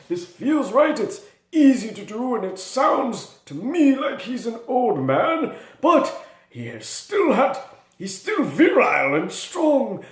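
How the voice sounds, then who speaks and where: A wise and hardy old cleric, played by a man, speaks in a very high voice. His delivery is slow and swinging in pitch, self-assured like a seasoned teacher of tabletop adventurers. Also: heavily acted. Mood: wise, musing. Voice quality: high register. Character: wise, strong, well-traveled old male cleric. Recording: echoey room.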